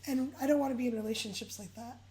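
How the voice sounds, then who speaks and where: A woman co-hosting a casual advice chat, hoarse and raspy as she gets over a cold, relaxed and conversational. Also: on the high side, very fast. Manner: relaxed, conversational, laughs easily. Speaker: co-host.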